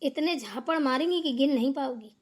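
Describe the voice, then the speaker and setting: A woman's voice: high-pitched, fairly quick, lightly acted. A woman voicing a domineering older mother-in-law in a family cartoon, scolding and nagging with sarcastic questions. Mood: stern, threatening. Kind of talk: amateur Hindi family cartoon dialogue.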